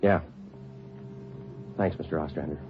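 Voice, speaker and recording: An actor playing a terse, deadpan male police sergeant in a 1940s radio drama. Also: very deep voice, measured pace, slight background noise. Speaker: police detective and narrator. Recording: tinny 1949 radio broadcast with music.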